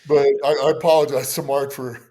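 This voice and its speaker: A man's voice: medium pitch, moderate pace. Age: middle-aged. Sex: man. Style: casual podcast chat. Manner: excitable, stammering restarts, animated storytelling. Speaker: podcast host.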